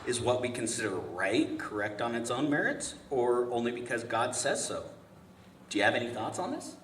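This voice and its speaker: A man's voice: medium pitch, sing-song, moderate pace, fairly bright tone. A man playing an insufferably pleasant, patient, earnest family man in a script table read, chatty and hesitant. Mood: explaining. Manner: hesitant, chatty, apologetic, stammering. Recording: echoey room from far off, noisy.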